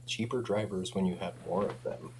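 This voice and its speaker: A man's voice: sits low, normal speed, husky. A man speaking informally as a company representative in a casual product chat, often framing things as personal opinion. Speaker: audio company representative and interview guest.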